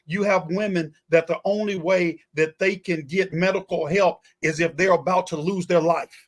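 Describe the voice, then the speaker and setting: A man's voice: high voice, steady tone, normal speed. A man speaking as a guest pastor in a talk-show discussion, emphatic and repetitive with a preaching cadence.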